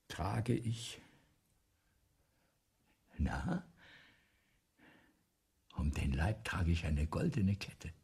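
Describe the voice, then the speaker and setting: Ailing, vain writer in radio drama: husky, gravelly, halting, theatrical. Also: male voice, low-pitched, full character voice. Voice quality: raspy, breathy, gravelly. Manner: theatrical, halting, repeats himself, swinging between whispers and outbursts. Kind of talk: German radio play acting.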